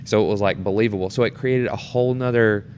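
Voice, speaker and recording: A man chatting casually and telling stories in an animated way, acting out how people react. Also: fairly low pitch, fast talker. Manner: animated storyteller, acts out reactions. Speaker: guest, amateur stage performer. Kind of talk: casual storytelling chat. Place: temporary studio in a theatre. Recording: clear background noise and room ambience.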